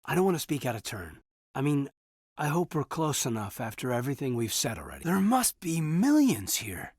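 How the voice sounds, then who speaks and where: A man playing an earnest, dutiful military officer in a video game, speaking in a husky, measured, sincere way. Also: mid-range voice, big pitch swings, darkish tone, no room sound, clean studio sound. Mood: composed. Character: earnest, dutiful male military officer, reserved and quietly romantic. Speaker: soldier. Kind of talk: video game character dialogue.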